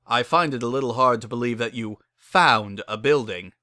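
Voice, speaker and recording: A wary male pony acted by a man in a dramatic story reading. His voice is bright and mid-pitched, with a skeptical, questioning edge. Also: moderate pace, no room sound, clean studio sound, lightly acted.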